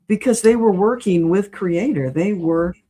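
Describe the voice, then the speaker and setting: A woman's voice: mid-range voice, a little slow, crowd noise. A woman giving a conversational spiritual reading as a guest, explaining things in a matter-of-fact way. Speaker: spiritual reader, interview guest.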